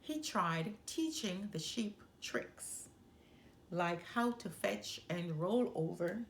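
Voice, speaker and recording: A woman reading a children's story aloud as a storyteller, with deliberate, expressive phrasing. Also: on the low side, a little hiss or hum. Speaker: storyteller, channel host.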